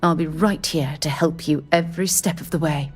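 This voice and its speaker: An actress playing an earnest, excitable space-explorer scientist in a video game, speaking in an articulate, formal, slightly flustered way. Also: female voice, sits low, moderate pace, husky, faint music behind. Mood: flustered, devoted.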